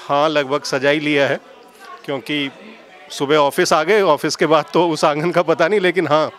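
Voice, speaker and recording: A man in his forties speaking in a measured, fervent way to a reporter in a newsroom. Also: fairly high pitch, steady tone, fairly bright tone. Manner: measured, fervent, a little formal. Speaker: newsroom colleague interviewed. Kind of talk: devotional vox pop. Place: indoor newsroom. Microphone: handheld mic held by reporter.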